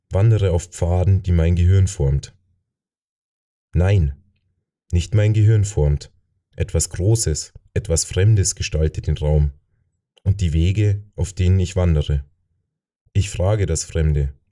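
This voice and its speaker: A man narrating a fantasy audiobook in a measured, deliberate way. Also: low-pitched, dark tone. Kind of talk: scripted narration of a fantasy novel.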